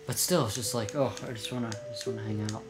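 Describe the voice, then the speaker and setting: A man with a fairly low voice chats casually as co-host of a gaming show. He rambles along and jokes crudely. Loud video game music plays behind him. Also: steady tone, quick pace. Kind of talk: casual let's play banter.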